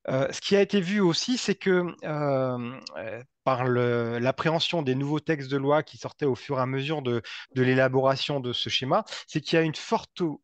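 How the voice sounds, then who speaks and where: Man presenting haltingly, dry close sound. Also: mid-range voice, no room sound. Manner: halting, often repeats and rephrases words. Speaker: presenter from a local energy body. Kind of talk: semi-improvised technical presentation.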